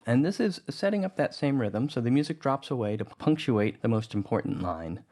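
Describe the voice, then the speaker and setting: A man narrating a music-editing tutorial in a relaxed, conversational way. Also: medium pitch, brisk. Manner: relaxed, conversational, thinking aloud.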